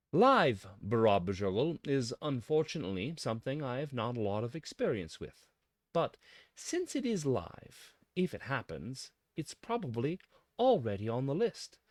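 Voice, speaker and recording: A man delivering a scripted mock-academic monologue in a pompous, philosophical manner with dramatic pauses. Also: medium pitch, very animated, no room sound, clean studio sound. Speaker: presenter of a mock-scholarly essay.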